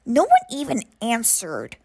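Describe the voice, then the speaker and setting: A woman storyteller reading a children's picture book aloud expressively, with deliberate phrasing and drawn-out emphasis on key words. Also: on the high side, wildly swooping pitch, gravelly, darkish tone, slightly rough.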